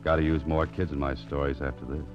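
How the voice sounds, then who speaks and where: Very deep-voiced wry radio detective. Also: male voice, level tone, fast talker. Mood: musing, self-mocking. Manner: dry, understated. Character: wry, tough writer-adventurer private investigator. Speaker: actor playing the lead detective. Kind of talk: 1940s radio acting. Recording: muffled vintage radio recording with music.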